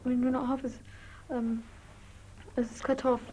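A woman's voice: high voice, little pitch movement, measured pace, warm tone, slight background noise. A teenage female student with a British accent giving her opinions in an informal interview. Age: teens. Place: small indoor classroom.

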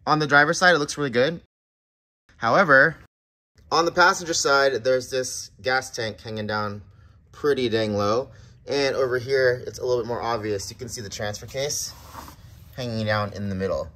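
A man in his thirties narrating a do-it-yourself truck repair, explaining each step in a relaxed, plainspoken way. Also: mid-range voice, normal speed, slight background noise. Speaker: garage channel host. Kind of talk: how-to voice-over narration.

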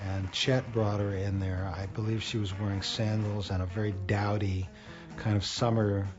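An older band member describing things calmly in a documentary interview. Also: male voice, fairly low pitch, moderate pace, lo-fi sound, over music.

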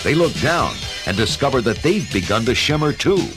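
A man narrating an adventure story in a measured, dramatic scripted style. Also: medium pitch, very animated. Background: dramatic music.

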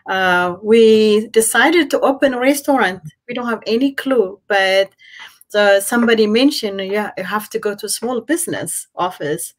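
A middle-aged woman from Ethiopia with an Ethiopian accent, telling her story conversationally as an interview guest on a video call. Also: medium pitch. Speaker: interview guest, restaurant owner. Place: indoor room at home, on a video call. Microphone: computer mic on a video call.

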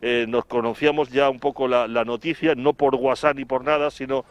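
A middle-aged man with an accent from Spain, reporting live outdoors in a wordy, halting way, repeating words as he recalls things. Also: medium pitch, steady tone, clean tone, dry recording. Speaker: television reporter. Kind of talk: live report, spoken recollection. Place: outdoors in front of an office building. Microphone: handheld microphone, through a face mask.

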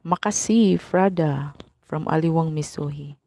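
A young woman with a Filipino accent, hoarse from a cough, greeting viewers one by one on a live stream through a mic held at her mouth. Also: deep voice, dark tone. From Philippines. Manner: slow, greeting viewers by name. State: husky from a cough. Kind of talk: live stream chat reading viewer comments. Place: small room indoors. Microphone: clip-on mic held at the mouth.

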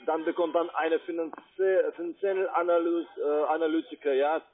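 A non-native German speaker, a man with a foreign accent, making a halting, repetitive sales pitch. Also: flat delivery. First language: not German. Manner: halting, repetitive, tag-question fillers. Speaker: call-centre caller pitching investments. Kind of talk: sales pitch on a phone call. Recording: tinny narrowband phone line.

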